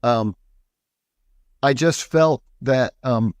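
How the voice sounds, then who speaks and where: A man in his sixties, a pastor being interviewed, talking in an earnest, thoughtful and halting conversational way. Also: medium pitch, dry recording, studio quality. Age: 60s. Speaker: pastor and podcast guest.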